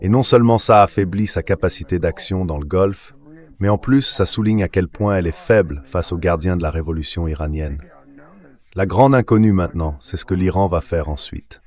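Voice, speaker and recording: A man's voice: low voice, a little fast, a little mellow. A man giving assertive, confident analysis as a guest in a news interview, heard in French voice-over. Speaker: retired admiral, television news guest.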